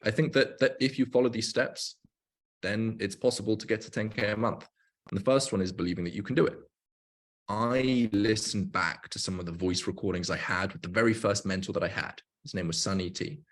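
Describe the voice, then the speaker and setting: A man's voice: fairly low pitch, fairly quick. A man in his twenties hosting a livestream, coaching in an informal, explanatory way with emphatic repetition. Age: 20s. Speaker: livestream host, online business coach. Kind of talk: casual livestream talk and coaching.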